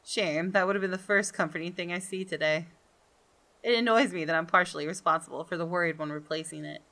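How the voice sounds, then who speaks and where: A woman's voice: lowish voice, fairly even intonation, fast, pushed and bright. A woman gaming host doing a let's play, reading story narration aloud and commenting to viewers. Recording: faint music behind. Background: faint game music.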